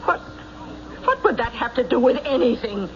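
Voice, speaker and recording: An actress playing a defensive, indignant American farm widow in a radio mystery, with curt, bristling replies. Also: female voice, a little high, very animated, moderate pace, a bit bright. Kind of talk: radio mystery drama acting. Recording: muffled, hissy old radio recording.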